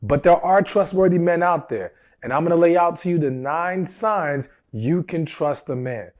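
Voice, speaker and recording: A man in his thirties giving dating advice straight to his viewers, his voice a little rough from a cold, earnest and emphatic. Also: on the high side, expressive, moderate pace, a little mellow. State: recovering from a cold. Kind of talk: direct-to-camera advice talk.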